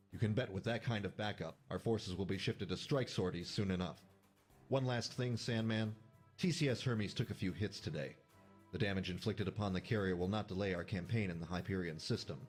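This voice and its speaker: Male actor for a demanding military commander in a space game: low register, formal briefing style, stern authority, music underneath. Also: lightly acted.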